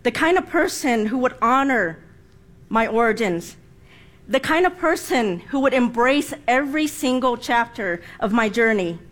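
A woman in her forties, born in Vietnam with Vietnamese as her first language, giving a prepared personal speech in a deliberate, emphatic way. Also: a little high, lively intonation, fairly bright tone. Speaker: speaker.

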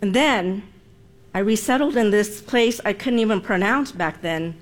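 A woman in her forties, born in Vietnam with Vietnamese as her first language, giving a prepared personal speech in a deliberate, emphatic way. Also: medium pitch. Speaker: speaker.

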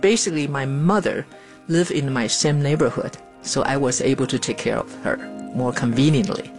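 A woman's voice: a bass voice, a little mellow. Older woman, earnest and plain. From Taiwan. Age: 70s. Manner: earnest, plain-spoken, with pauses and fillers. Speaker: interviewee. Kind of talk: documentary interview. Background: music.

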